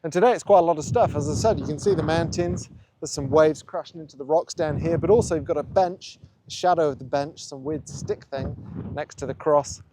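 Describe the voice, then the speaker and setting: A man hosting his own photography channel, thinking aloud in a relaxed, musing way as he works a scene outdoors on a windy coast. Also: fairly high pitch, a little fast. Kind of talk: casual vlog narration on location.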